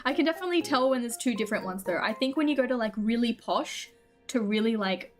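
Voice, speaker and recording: A woman's voice: on the high side, animated, fast talker, faint music behind. A woman with an Australian accent chatting casually with her viewers while streaming a game, laughing often.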